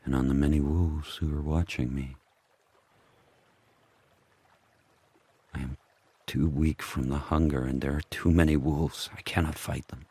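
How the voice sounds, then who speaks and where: A man playing a fierce, brooding sled dog turned pack leader, telling his tale in terse, deliberate phrases in an audio drama. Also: warm tone, no echo. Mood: grieving, weary. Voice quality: very deep, husky. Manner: terse, deliberate first-person narration in short phrases.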